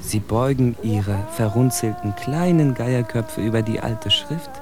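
A man's voice: mid-range voice, natural voice. A man playing a bitter, articulate immigrant in a literary radio drama monologue, with intense, rhetorical phrasing. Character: bitter, articulate immigrant man indicting his generation's plight. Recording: music bed under the voice.

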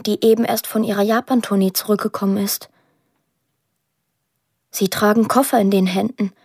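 An actress playing a young woman who narrates a radio drama in a plain, reflective, measured way. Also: medium pitch, darkish tone, breathy edge, dry recording, studio quality. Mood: wistful, tense. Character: pensive young woman narrating her estranged family.

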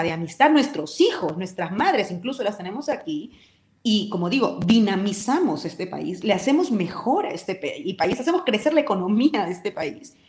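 A woman's voice: medium pitch, darkish tone. A woman from Peru with a Peruvian accent, a guest in a radio interview who speaks her opinions in a vehement, passionate way. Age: adult with a decade living abroad. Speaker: interview guest, talk show host and commentator. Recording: roomy echo.

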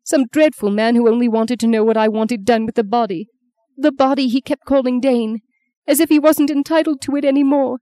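A woman's voice: a little high, fairly even intonation, normal speed, mellow, no echo, voice pushed up, close to an everyday voice. A woman voicing a grief-stricken grown daughter in an audiobook, tearful, pleading and full of self-blame. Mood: anguished, bitter. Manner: pleading, emotional outbursts. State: anguished, tearful, sobbing. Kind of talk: dramatic audiobook character voice.

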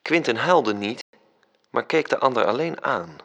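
A man's voice: medium pitch, animated, measured pace, vocal fry, fairly bright tone, heavily acted. An actor narrating a radio drama as an angel telling a story to his superior. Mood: calm.

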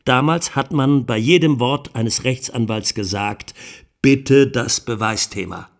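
Actor as sardonic courtroom lawyer. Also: male voice, medium pitch, natural voice. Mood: incredulous, sardonic. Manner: rhetorical, sardonic, deliberate emphasis with pointed pauses. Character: male defence lawyer pleading in court, indignant and sardonic. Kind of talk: courtroom speech in a radio play.

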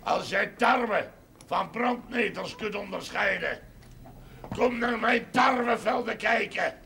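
An actor playing a defiant, blustering farmer, loud, sardonic and declamatory, in a television drama. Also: male voice, high-pitched, takes it slowly, creaky, exaggerated voice. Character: defiant, blustering Limburg farmer facing land expropriation. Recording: noisy 1970s television soundtrack.

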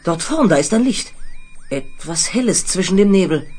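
An eager young space crewman with a fairly high male voice. He is earnest and keen, in 1970s German radio play style, with music present. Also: expressive, normal speed, a little mellow, slightly rough. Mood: eager, tense.

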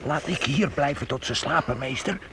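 An actor playing a loyal, hot-tempered servant in a radio play, with a gravelly, eager voice. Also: male voice, mid-range voice, normal speed, close to an everyday voice. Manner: eager, defiant. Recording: hissy old tape.

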